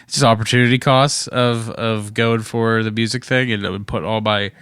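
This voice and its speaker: Guest, late-20s man from the Pittsburgh area, smooth clear voice, dry sound, friendly and quick to laugh. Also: on the low side, steady tone, a bit bright, no room sound. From Pittsburgh area, USA. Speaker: musician guest. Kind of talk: casual interview chat.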